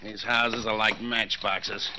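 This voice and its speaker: Bossy crook, noisy old radio. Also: male voice, on the low side, level tone, takes it slowly. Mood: curt commanding. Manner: curt, gruff-tempered, commanding. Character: hard-boiled callous male crook, bossy gang leader. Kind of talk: 1940s radio acting. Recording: very noisy vintage 1940s radio recording.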